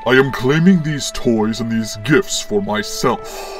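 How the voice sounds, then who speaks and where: A man voicing a menacing dark-suited Sith villain, booming, slow and pompous in a theatrical way. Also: far from the mic, music in the background, lightly acted. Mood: cold, defiant.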